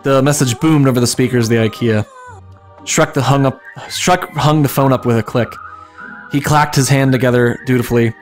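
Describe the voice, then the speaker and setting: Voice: mid-range voice, fairly even intonation, moderate pace, soft background music. A streamer giving an informal, amused dramatic reading of comic fan fiction, stumbling over the text now and then. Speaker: streamer and story reader.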